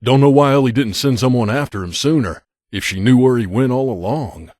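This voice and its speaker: A man's voice: medium pitch, a little fast, a little mellow, no room sound, studio quality, natural voice. A man playing a middle-aged mercenary bodyguard in a video game, a blunt and guarded loner who talks in a terse, matter-of-fact, aloof way. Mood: businesslike, dry. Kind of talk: video game character dialogue.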